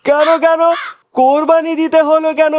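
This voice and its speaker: A man's voice: very high voice, little pitch movement, normal speed, a little mellow. A man with a Bangladeshi accent voicing a teasing young male friend in a cartoon. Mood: curious. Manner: teasing, needling. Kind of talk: cartoon voice acting.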